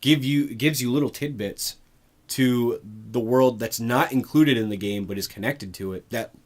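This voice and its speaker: A man co-hosting a casual discussion, reasoning out loud in an informal way and leaning on "like". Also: medium pitch, moderate pace. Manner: informal, frequent "like" while reasoning. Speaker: co-host. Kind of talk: casual discussion of films and games.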